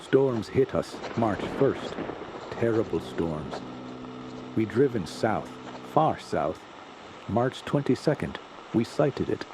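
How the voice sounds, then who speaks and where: An actor playing a haunted, weakened sailor, speaking halting broken English in a radio drama. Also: male voice, lowish voice, lively intonation. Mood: grim, weary. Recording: noisy ambient sound effects bed.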